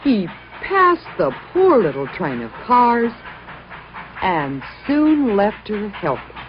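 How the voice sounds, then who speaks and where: A woman narrating a children's story from a script in a dramatic, expressive and emphatic way. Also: mid-range voice, slow pace. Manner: dramatic, emphatic, acts out sound words. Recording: dull lo-fi recording, music behind.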